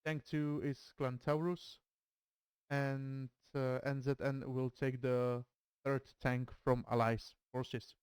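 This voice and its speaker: A man from the Czech Republic with a Czech accent, a native Czech speaker commentating a game match in careful, deliberate English. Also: mid-range voice, little pitch movement, darkish tone, clean tone, no room sound. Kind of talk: streamed game commentary, reading a dramatic intro and team roster.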